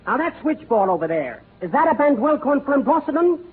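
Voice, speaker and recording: Goofy, naive kid-like tourist; very high voice; thin, faintly hissy old radio. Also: male voice, very animated, moderate pace. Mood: earnest. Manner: earnest and silly. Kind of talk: 1940s radio comedy acting. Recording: tinny old radio broadcast.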